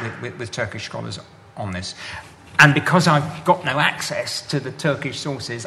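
A man's voice: mid-range voice, a bit bright, slight background noise. A man answering audience questions after a talk, thoughtful and hesitant, often repeating words as he searches for them. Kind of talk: lecture Q&A.

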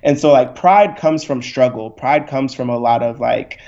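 A man's voice: medium pitch, moderate pace, dark tone. A young man speaking as a podcast guest, passionate, blunt and profane, often saying "like" and "right?". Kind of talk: candid podcast conversation. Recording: slightly muffled recording.

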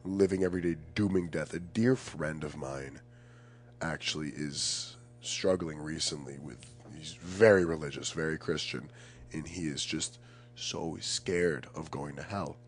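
Very deep-voiced earnest young man. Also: unhurried, slight background noise. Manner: earnest, emphatic, searching for words. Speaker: podcast guest, poet. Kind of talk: casual, reflective podcast conversation.

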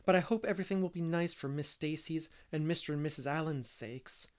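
A man's voice: on the high side, brisk, clear, resonant tone, natural voice. A man voicing a conscientious, earnest young woman in a storybook reading. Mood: listless.

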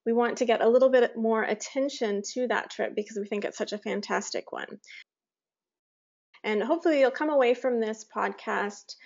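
A woman's voice: fairly high pitch, creaky, a little mellow, highs cut off. A woman speaking as a podcast host in a casual conversational interview style.

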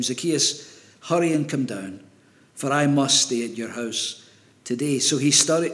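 A man reading a Bible passage aloud to a congregation in a steady, measured way. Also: medium pitch. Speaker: scripture reader.

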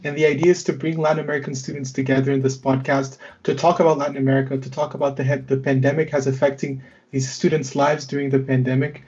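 A man's voice: medium pitch, darkish tone. Young male podcast host, hesitant delivery with restarts, muffled lo-fi sound. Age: young adult. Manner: hesitant, many fillers and restarts. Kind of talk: hosting a student podcast conversation.

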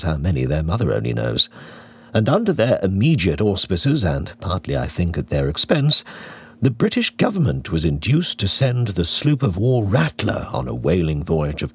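Deep-voiced man reading a classic novel, husky and expressive. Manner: theatrical, deliberate storytelling with varied emphasis. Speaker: audiobook reader. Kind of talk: scripted literary narration.

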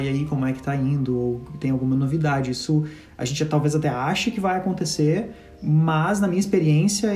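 A man with a mellow, dark voice, musing at length in a thoughtful way. The room is echoey, with faint music underneath. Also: on the high side. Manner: reflective, talks in long stretches. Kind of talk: casual conversational chat.

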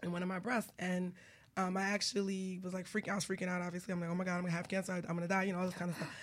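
A woman's voice: lowish voice, level tone, very fast. Casual, chatty woman hosting a talk show. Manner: chatty, candid, informal. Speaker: radio show host. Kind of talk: casual conversational interviewing.

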